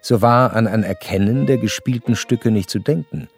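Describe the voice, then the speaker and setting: An actor playing an educated, observant narrator in a literary radio play, speaking in a measured, precise and wry way. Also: male voice, mid-range voice, gravelly, lightly acted. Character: educated, curious male narrator, observant and wryly detached. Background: violin music.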